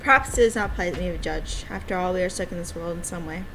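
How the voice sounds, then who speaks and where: A woman voicing a courteous, wistful male visual-novel character in a formal, measured, hesitant way. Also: on the low side, fairly bright tone, slight background noise, natural voice, rough recording. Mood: polite, musing.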